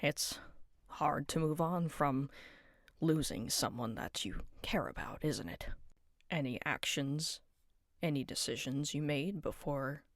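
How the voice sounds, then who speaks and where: Lady doing a kind old cartoon chess guy, quiet and low, comforting, bit hoarse. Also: dry recording, clean studio sound. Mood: consoling. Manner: soft, slow, consoling. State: sometimes hoarse and nasal from being sick. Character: gentle, wise older male cartoon chess piece.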